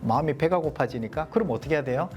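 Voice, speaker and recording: A man with a softened Gyeongsang accent, giving a leadership coaching lecture to a small group and posing rhetorical questions. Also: a little high, lively intonation, fast, soft background music. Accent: Gyeongsang Korean, mostly softened. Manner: poses rhetorical questions, explanatory.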